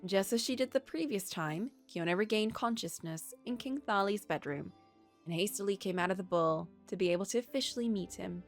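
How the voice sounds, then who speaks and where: A woman streamer reading a story aloud with expressive narration. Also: mid-range voice, a little fast, soft background music.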